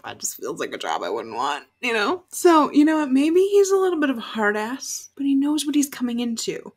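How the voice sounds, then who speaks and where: A woman's voice: high voice, wildly swooping pitch, fairly quick. A young adult woman giving chatty, candid reaction commentary on a TV show, with casual swearing. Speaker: reaction video host. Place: bedroom, indoors.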